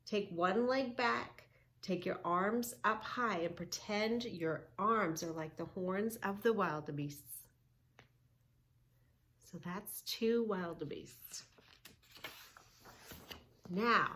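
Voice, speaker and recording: Lady doing kids yoga storytime, takes it slow, sing-song teacher voice, stretches out key words. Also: mid-range voice. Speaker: children's yoga story-time host. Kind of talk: read-along lesson for young children, narrating and instructing.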